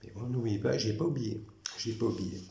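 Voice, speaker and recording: A man's voice: mid-range voice, fairly quick, gravelly, roomy sound, noisy background. A man from France giving a rambling, insistent monologue to camera, repeating and restarting his phrases as he recounts his grievances. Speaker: former prison guard recounting grievances.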